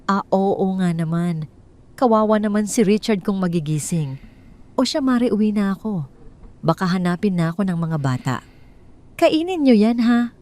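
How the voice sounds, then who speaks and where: A woman's voice: medium pitch, expressive, moderate pace, long pauses, dark tone, close to an everyday voice. A woman voicing a sly, flirtatious neighbor woman who talks in a fake-sweet, overly friendly way. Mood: falsely sweet. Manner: sugary, falsely friendly. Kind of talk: audio drama storytelling.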